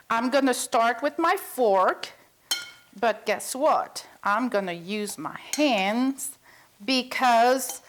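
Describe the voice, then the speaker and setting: A woman from Belgium, chatting through a recipe as a cooking show host. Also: fairly high pitch. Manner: chatty, talks viewers through each step. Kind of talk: cooking demonstration.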